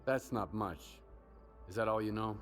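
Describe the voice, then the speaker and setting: An actor playing a cool, businesslike male survivor hero in a video game, speaking in a terse, measured, matter-of-fact way. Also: on the low side, soft background music, close to an everyday voice. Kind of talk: video game protagonist acting.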